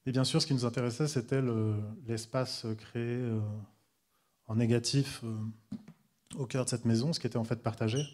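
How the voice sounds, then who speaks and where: A man in his thirties from Switzerland giving an architecture lecture in a large hall, talking haltingly with many fillers into a handheld microphone. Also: mid-range voice, fairly even intonation, darkish tone. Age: 30s. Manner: halting, restarts with frequent euh. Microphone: handheld microphone at a desk.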